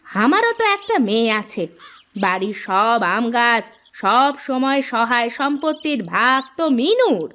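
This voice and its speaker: Brief: a sharp cartoon stepmother, high, lively, harsh. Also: unhurried. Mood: defensive. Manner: sharp and scolding.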